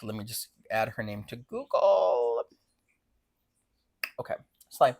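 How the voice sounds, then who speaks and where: A woman's voice: a bass voice, very animated, slow pace, gravelly, a little mellow. A young woman co-hosting a podcast, chatting casually about books in a blunt, slangy, profane way. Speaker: podcast co-host. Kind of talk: casual book-review chat.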